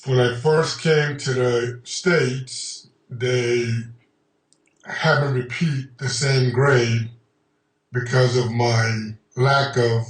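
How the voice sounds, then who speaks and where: A man from Honduras speaking in a documentary interview, measured and reflective, pausing with ums. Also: mid-range voice, steady tone. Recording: roomy sound from a distant mic.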